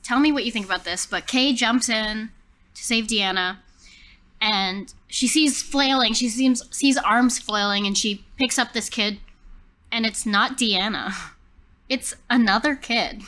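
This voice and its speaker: A woman with a bright, forward voice improvises scenes in a tabletop role-playing game. She talks casually, as if building the story with friends. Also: a little high, measured pace.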